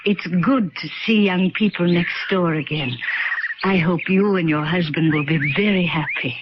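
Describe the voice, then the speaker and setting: An actress plays an elderly widowed woman, friendly and a little lonely, in an old radio drama. The recording is dull and lo-fi, with loud noise behind her. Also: fairly low pitch, moderate pace, close to an everyday voice. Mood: kindly, welcoming.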